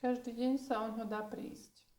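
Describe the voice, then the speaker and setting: A woman's voice: a little high, level tone, moderate pace, darkish tone. A woman working as an interpreter, translating each statement right after the speaker, with occasional hesitations. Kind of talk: consecutive interpreting.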